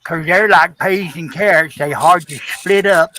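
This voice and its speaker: High, bright man reading out chat. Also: measured pace, a little hiss or hum. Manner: teasing, folksy. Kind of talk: casual group chat on a live stream.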